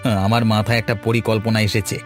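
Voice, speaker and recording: A man's voice: lowish voice, little pitch movement, fast talker, faint music behind, lightly acted. A man voicing a shifty, irritable married villager in a cartoon, blustering and dismissive. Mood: evasive, scheming. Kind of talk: cartoon dialogue acting.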